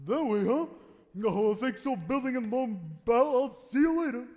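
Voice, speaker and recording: A man acts out a bumbling, cheerful uncle in his late fifties, very high-pitched and excitable. He rambles and exclaims in old radio-play style, recorded live in a reverberant hall. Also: moderate pace. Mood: tipsy, merry. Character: bumbling, cheerful, boozy old uncle of fifty-five-plus. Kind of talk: 1940s radio play acting. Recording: echoey hall, live stage taping.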